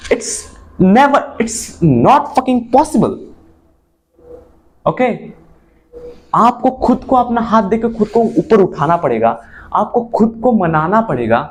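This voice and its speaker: A young man speaking an earnest motivational monologue to his viewers, mixing in English phrases and swinging between emphatic and low moments. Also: sing-song, slow pace, long pauses. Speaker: motivational vlogger.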